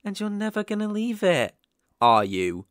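A man playing a sadistic, obsessive young kidnapper in an ASMR roleplay, gloating with menacing, mocking, drawn-out phrasing. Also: high voice, sing-song, dry recording, clean studio sound. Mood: cold, possessive.

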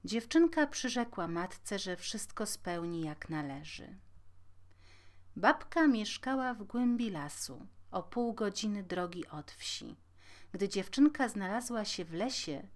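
A woman reading a scripted fairy tale aloud as a storyteller. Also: medium pitch.

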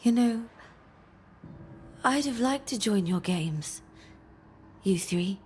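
A woman's voice: mid-range voice, expressive, slow pace, darkish tone, a little hiss or hum. A woman playing a dreamy, fragile, lonely woman in a dramatic game, wistful and drifting in her delivery.

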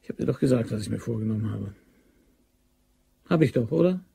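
A man's voice: mid-range voice, lively intonation, brisk, gravelly, mellow, slightly rough, heavily acted. An actor in a German crime radio drama playing a pushy, determined young man plotting a robbery, insistent and goading.

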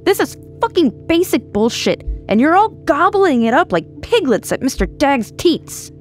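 A woman's voice: high-pitched, very animated, moderate pace, faint music behind, pitched above the performer's own voice. A woman voicing a young, sullen, hot-headed female art student in a story. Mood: furious.

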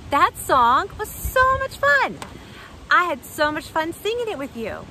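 A woman hosting a storytime for young children, reading and chatting in an animated, expressive, deliberate way. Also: very high voice, a little hiss or hum, rough recording. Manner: animated, expressive, deliberate phrasing with dramatic hushes. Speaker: storytime host. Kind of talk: children's read-aloud, addressing young children.

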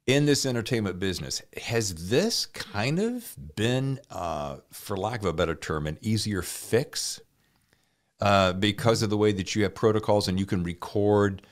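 Very low-voiced podcast host. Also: male voice, lively intonation, measured pace. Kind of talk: casual, chatty interviewing.

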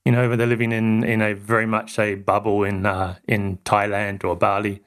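A man from New Zealand with a mixed New Zealand and Australian accent, speaking calmly as a podcast guest with dry humor. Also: lowish voice, normal speed. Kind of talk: casual conversational interview.

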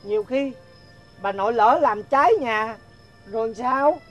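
A woman's voice: a little high, measured pace, fairly bright tone, dull audio, soft background music. A woman voicing a troubled father who stammers and hesitates when unsure of himself. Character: troubled, remorseful middle-aged father.

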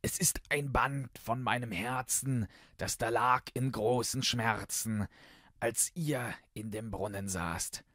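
A man's voice: medium pitch, slow, sharp tone, no echo, clean studio sound. A man voicing a loyal, devoted male servant in a fairy tale, earnestly reciting verse. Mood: earnest, relieved.

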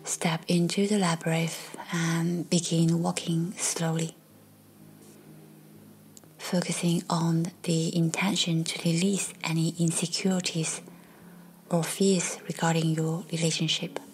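A woman guiding a meditation in a soft, breathy, half-whispered voice, speaking gently in measured short phrases with long pauses. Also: on the low side, flat delivery, slight background noise, low-quality recording. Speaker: meditation guide. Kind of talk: guided meditation, slow scripted narration. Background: faint background music.

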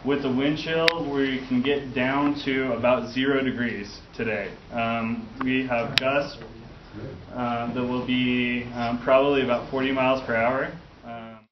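Male guide, mid-pitched voice, safety briefing, roomy sound, background noise. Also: steady tone, a little slow. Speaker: mountain guide. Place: indoor meeting room. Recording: roomy, muffled, noisy camera sound.

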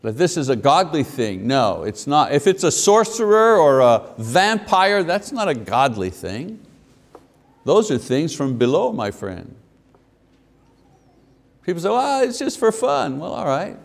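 Fifties male preacher, lively pulpit delivery with rhetorical questions, slightly high voice, long church reverb. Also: measured pace, gravelly. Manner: animated, gesturing, asks the congregation questions. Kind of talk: sermon. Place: church sanctuary at a wooden pulpit. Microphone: lapel mic.

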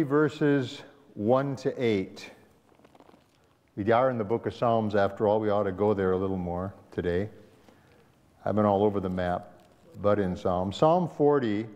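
A man's voice: medium pitch, darkish tone. A male pastor teaching a Sabbath school class, talking it through conversationally and asking the class questions. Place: church sanctuary. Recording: dull echoey church hall recording.

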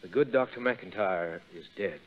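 An actor playing an earnest, concerned army major speaking in a measured way in a 1930s radio drama. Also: male voice, sits low, natural voice. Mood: grave, pleading. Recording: muffled 1930s radio broadcast.

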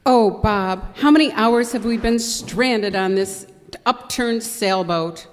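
A woman's voice: on the high side, slow, slight background noise. A woman playing an easily exasperated, theatrical stranded tourist in a radio skit. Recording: echoey hall.

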